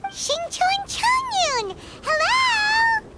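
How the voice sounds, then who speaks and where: Squeaky, very high-pitched tiny fairy. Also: female voice, big pitch swings, very slow, very noisy, heavily acted. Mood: excited. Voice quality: squeaky, childlike. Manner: exclamatory, wide-eyed, inquisitive. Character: tiny bubbly childlike floating fairy companion. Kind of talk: animated video game voice acting.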